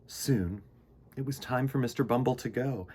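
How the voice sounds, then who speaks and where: A man hosting a children's storytime, reading and chatting to young listeners in a folksy, emphatic, expressive way. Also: medium pitch, normal speed, husky. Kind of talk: animated storybook narration and friendly address to children.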